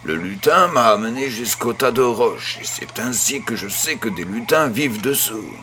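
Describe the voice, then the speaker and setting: A man playing a kindly grandfather who tells a bedtime tale in a measured, gentle, husky voice. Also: medium pitch, lively intonation, slight background noise. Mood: fond. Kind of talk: scripted audio storytelling.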